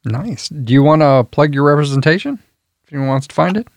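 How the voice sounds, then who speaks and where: A man hosting a podcast interview, soft-spoken and encouraging, with frequent filler words. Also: on the high side, expressive, brisk, dry recording, studio quality. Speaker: podcast host.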